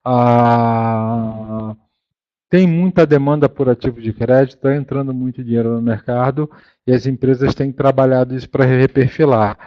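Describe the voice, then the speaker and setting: A Brazilian man giving an informal business presentation as a fund manager, halting often, repeating words and correcting himself as he explains. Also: mid-range voice, fairly even intonation, no echo. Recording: dull, lo-fi, dry conference call audio.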